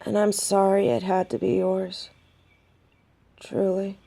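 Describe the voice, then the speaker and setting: A woman's voice: mid-range voice, completely flat delivery, slow, gravelly, dark tone. A woman playing a young, stubborn, hot-headed female werewolf in an audio roleplay drama. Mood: solemn, sympathetic.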